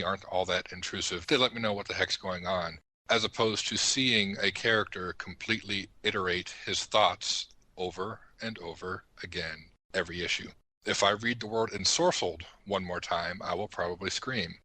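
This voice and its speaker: A candid man with a very low voice that still cuts through with a forceful, bright tone. He chats casually as a podcast panelist, giving frank opinions, and the sound is dry and close. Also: steady tone, moderate pace, no room sound, low-quality recording.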